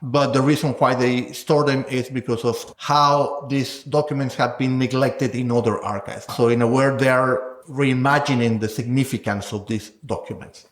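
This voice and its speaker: A man's voice: mid-range voice, steady tone, normal speed, hardly any pauses. Thoughtful man in a roomy-sounding space. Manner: reflective, thinks aloud with tag questions. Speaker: guest scholar. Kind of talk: academic interview talk. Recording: roomy echo.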